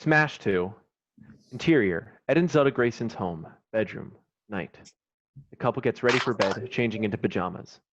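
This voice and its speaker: A man's voice: lowish voice, moderate pace, very noisy. Male screenplay narrator, slightly dull sound. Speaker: stage directions narrator. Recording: slightly dull, lo-fi online recording.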